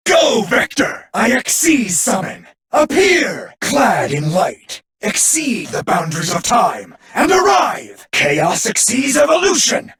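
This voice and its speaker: A noble warrior of an otherworldly realm speaks in a gravelly voice that swings widely in pitch. He is loyal and solemn, delivering formal, earnest declarations in the grand style of an anime card game. His tone is high for a man and rough around the edges. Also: wildly swooping pitch, takes it slowly, hardly any pauses, a bit bright, full character voice. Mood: rousing, commanding.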